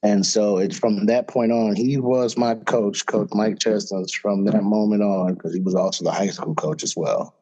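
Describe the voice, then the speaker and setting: Relaxed, low-voiced man telling stories. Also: normal speed, few pauses, rough recording. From Florida, USA. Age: middle-aged. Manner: relaxed storytelling with fillers. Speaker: head wrestling coach, guest. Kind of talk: casual interview talk.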